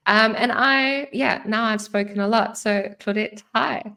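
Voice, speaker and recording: A woman's voice: mid-range voice, steady tone, measured pace, creaky, pushed and bright. A South African woman hosting a podcast in casual chat, chatty and laughing now and then. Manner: chatty, laughs often, fillers. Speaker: podcast host.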